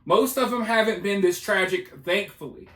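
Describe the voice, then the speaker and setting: A high-pitched male commentator talks through the news in a roomy, echoing space. He is casual and opinionated, leaning on colloquial phrasing and repetition to drive points home. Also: moderate pace, a bit bright. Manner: emphatic, colloquial, repeats phrases for effect. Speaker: commentator hosting a news video.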